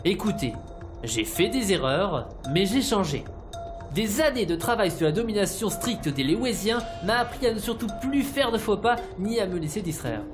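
A woman's voice: medium pitch, animated, normal speed, over music, lightly acted. A woman playing an earnest, defensive male scientist of small stature in an audio drama, speaking in formal, wordy phrases.

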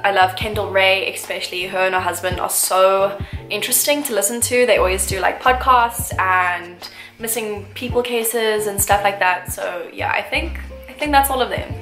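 A woman's voice: medium pitch, bright, forceful tone. A woman, chatting casually to camera in a get-to-know-me vlog, gushing and full of fillers. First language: English. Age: 20s. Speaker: vlogger. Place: small room indoors, seated in armchair. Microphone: camera mic, medium framing. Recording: roomy echo, faint music.

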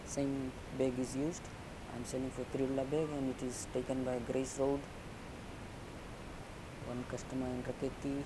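A middle-aged man from Fiji with a Fijian accent, answering interview questions indoors in a plain, halting, conversational way, sometimes ending a point with "eh?". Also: mid-range voice, noisy background. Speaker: poultry farmer.

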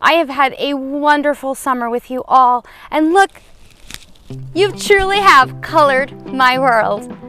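A woman's voice: sits high, slow pace, sharp tone, soft background music. A young woman hosting a children's reading programme outdoors, talking directly to young viewers. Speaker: costumed host of children's reading series. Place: outdoors on a grassy lawn by trees.

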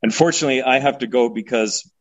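A man, a sculptor, talking in a halting, thoughtful way as a guest on a video call, often repeating words. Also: medium pitch, lo-fi sound. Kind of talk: casual interview over video call.

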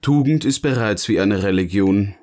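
Ein Mann spielt in einem Hörspiel einen eloquenten, sardonischen Erzähler, der bedächtig und theatralisch philosophiert. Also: male voice, lowish voice, moderate pace, darkish tone, close to an everyday voice. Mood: sardonic. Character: eloquent, sardonic male narrator finally free to speak. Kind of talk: scripted audio drama dialogue, philosophical musing.

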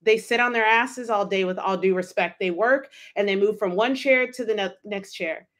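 An animated woman in her thirties hosts her own coaching podcast from a home office. She talks emphatically into a close studio mic and slips into quick put-on inner voices to make her points. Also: medium pitch. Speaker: life coach and podcast host. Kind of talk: solo podcast monologue. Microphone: studio mic with pop filter, wearing headphones.

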